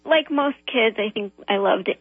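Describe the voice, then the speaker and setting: A woman from Southern California, an author and illustrator, calmly answering interview questions over a phone line. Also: medium pitch, unhurried, slightly rough, no room sound. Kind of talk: radio interview.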